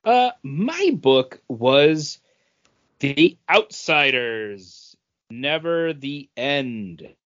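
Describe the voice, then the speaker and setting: A man co-hosting a comic book podcast, chatting casually and haltingly as he thinks aloud. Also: fairly high pitch, sing-song, a bit bright. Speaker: podcast co-host reviewing comic books. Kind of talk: casual chat. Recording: dull, band-limited audio.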